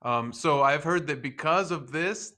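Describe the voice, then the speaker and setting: A man's voice: fairly high pitch, measured pace, sharp tone. A man hosting an online concert, politely interviewing guests with frequent restarts and fillers. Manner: hesitant, many restarts, polite. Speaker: host. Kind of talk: video-call interview. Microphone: video call.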